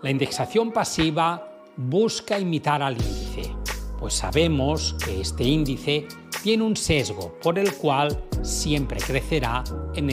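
Man, 50s, measured and emphatic host, studio mic, music bed behind. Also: fairly high pitch. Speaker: finance YouTuber. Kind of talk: scripted explainer to camera. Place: dim studio room with blue light. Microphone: studio mic on stand. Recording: background music under voice.

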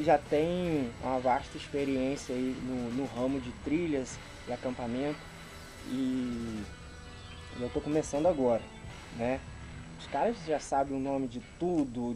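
A man's voice: medium pitch, rough recording. A man in his thirties with a Brazilian accent, chatting informally and slangily to camera as he unboxes things outdoors, recorded from a little way off. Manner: informal, slangy, exclaiming appreciatively. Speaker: YouTube vlogger. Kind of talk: casual unboxing chat to camera. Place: outdoors in a rural garden. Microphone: camera a couple of meters away.

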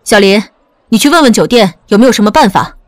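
A woman's voice: medium pitch, lively intonation, fast, no echo, close to an everyday voice. A woman voicing a flustered, urgent wedding organiser in an audiobook drama. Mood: anxious, urgent. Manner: urgent, pressing.

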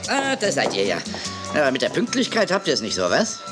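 A man's voice: a little high, huge pitch swings, brisk, over music. A man playing a scatterbrained, fussy television producer in a children's audio drama, stammering and repeating himself. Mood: flustered, fussy. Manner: stammering, repeating himself, losing his words.

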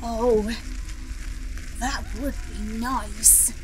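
A woman playing a slow-witted demon servant, obsequious yet blunt, in a comic audio drama. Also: fairly high pitch, steady tone, a little hiss or hum, rough recording. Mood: simple, eager.

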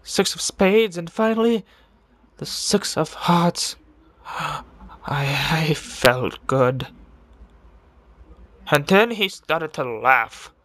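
A man voicing a deranged serial killer who confesses with morbid relish in a theatrical, brooding monologue full of dramatic pauses, in the style of a video game villain. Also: on the high side, lively intonation, a bit bright, dry recording, exaggerated voice. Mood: brooding, bitter. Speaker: amateur voice impressionist.

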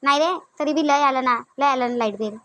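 High-pitched scolding mother-in-law, acted by a woman. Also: brisk, sharp tone, no room sound. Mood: shocked. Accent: Varhadi Marathi (Vidarbha). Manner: nagging, repetitive rhetorical questions. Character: domineering, scolding mother-in-law, thrifty and quick-tempered. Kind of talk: comedic cartoon dialogue.

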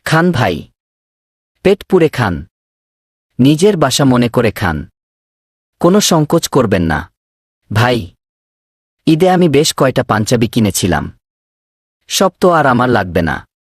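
A man voicing a generous middle-aged father in a children's cartoon, hospitable and gently insistent. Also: mid-range voice, big pitch swings, takes it slowly, long pauses, breathy edge, no echo, studio quality, full character voice. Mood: welcoming. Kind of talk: children's moral cartoon dubbing.

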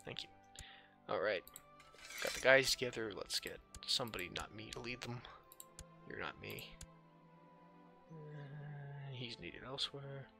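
A man commentates a video game over clearly audible music. His medium-pitched voice is creaky and a little scratchy. He talks casually and dryly, as if thinking aloud while he plays. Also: bright, forceful tone. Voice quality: slightly scratchy. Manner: dry, offhand, musing aloud to himself. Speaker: gaming video host. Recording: music under the voice.

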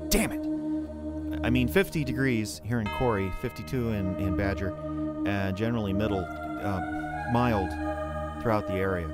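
An actor playing a fumbling, defiant young radio DJ in an audio drama, stammering and sardonic. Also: male voice, lowish voice, sing-song, a little slow, loud music behind the voice. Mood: flustered. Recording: clear background noise.

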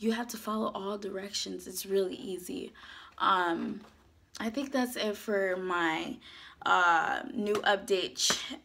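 A young woman chatting to camera in a casual vlog, her voice worn from a sore throat, animated and gesturing as she talks. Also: medium pitch, gravelly. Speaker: YouTuber. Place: small indoor room, dark backdrop.

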